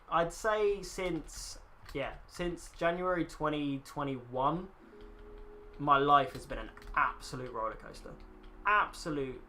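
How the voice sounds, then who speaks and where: Young bloke streaming a game, bright slightly high voice, rambling chat with a bit of swearing, faint game music behind. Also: male voice. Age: 20s. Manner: rambling, chatty, swears casually, talks to chat. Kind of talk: casual live-stream chat.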